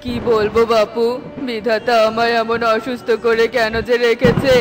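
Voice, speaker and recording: A man's voice: extremely high pitch, flat delivery, normal speed, music in the background. A man voicing a sickly, sorrowful father bird in a children's cartoon, mournful and resigned. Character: sickly, sorrowful father tailorbird, devoted husband.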